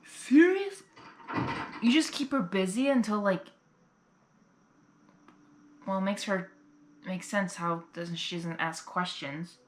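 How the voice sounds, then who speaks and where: A woman's voice: mid-range voice, slow, pauses often, echoey room, a little hiss or hum. A woman giving a casual live reaction, thinking aloud and exclaiming as she goes. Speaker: reaction video host.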